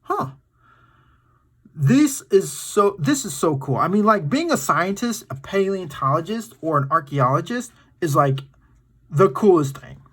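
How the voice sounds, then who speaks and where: A man's voice: sits high, sing-song, unhurried, fairly bright tone, breathy edge. A man hosting a children's story time, reading aloud in an enthusiastic, playful and dramatic way with casual asides to his young viewers.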